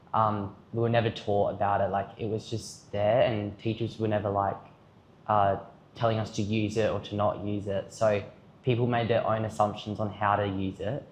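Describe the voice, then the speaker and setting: A man's voice: on the low side, a little hiss or hum. A young Australian man giving rambling, earnest answers in a casual interview, full of "like" and "um". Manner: rambling, filler-heavy, earnest. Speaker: student at an education camp. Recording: roomy, dull-sounding audio.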